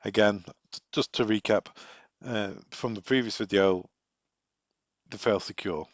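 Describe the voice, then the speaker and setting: A man's voice: on the low side, a little slow. A man working as a technical trainer, giving an instructional explanation and repeating key points with emphasis. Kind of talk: instructional explanation of wiring diagrams. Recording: dull, echoey room recording.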